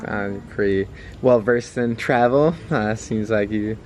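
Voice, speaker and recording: A man's voice: on the low side, a little slow, crowd noise. A man interviewing a traveler, asking questions in a hesitant, filler-heavy way. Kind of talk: casual interview chat.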